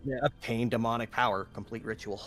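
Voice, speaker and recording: A man's voice: medium pitch, fairly even intonation, fairly quick, a bit bright, soft background music. A man talking casually as a player in a tabletop roleplaying game.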